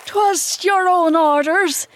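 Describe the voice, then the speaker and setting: A woman's voice: high voice, steady tone, slow pace, slight background noise, pitched above the performer's own voice. A woman voicing an eager, deferential male pirate boatswain, simple and loyal, in a storybook. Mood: uneasy.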